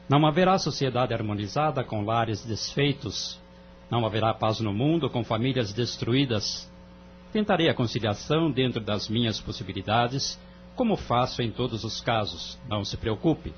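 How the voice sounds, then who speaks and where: A man's voice: mid-range voice, moderate pace, natural voice. Man playing a grave middle-aged judge, humming recording. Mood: formal, firm. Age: 50s. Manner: formal, solemn. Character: grave, devout, conscientious male judge around fifty. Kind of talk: audio drama acting. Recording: dull lo-fi audio with mains hum.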